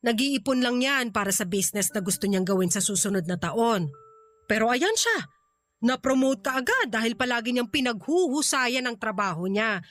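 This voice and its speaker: A woman's voice: on the high side, animated, normal speed, a bit bright. A woman playing a critical, blunt middle-aged aunt in a radio drama, needling in a Filipino accent. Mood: critical, cutting. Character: sharp-tongued, critical aunt vetting her niece's suitor. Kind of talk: Tagalog radio drama acting.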